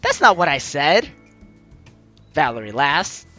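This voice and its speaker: Male let's play host, lively swinging pitch, bright forceful tone, playful theatrical read-aloud, faint game music. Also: slow pace. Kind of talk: let's play, reading visual novel lines aloud expressively.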